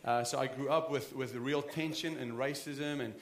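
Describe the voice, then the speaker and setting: A man's voice: mid-range voice, steady tone, normal speed, echoey room, slight background noise. A man in his thirties with a South African accent, preaching an earnest, conversational sermon with frequent restarts. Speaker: pastor. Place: church sanctuary.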